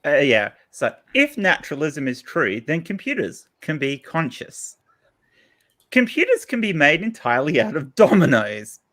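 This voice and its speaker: A man's voice: fairly high pitch, wildly swooping pitch, moderate pace, fairly bright tone. A man co-hosting a casual panel chat, jokey and giggly, tending to stammer as he argues. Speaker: co-host.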